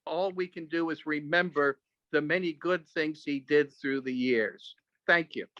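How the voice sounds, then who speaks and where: Man, fairly high voice, forceful bright tone, halting earnest storyteller, muffled dry video call. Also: no echo. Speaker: speaker sharing memories at an online club meeting.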